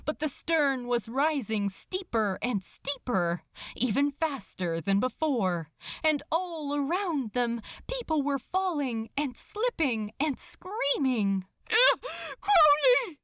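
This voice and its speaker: Female fiction narrator, suspenseful and emphatic, very animated melody, dry close sound. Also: sits high, no echo. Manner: theatrical, suspenseful, deliberate emphasis. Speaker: podfic narrator. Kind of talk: dramatic scripted story narration.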